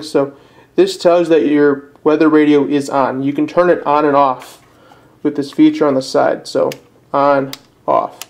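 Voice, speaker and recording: A man giving a casual step-by-step product tutorial in a plain, unpolished, slightly halting way. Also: a little high, a little mellow. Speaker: presenter of a how-to video.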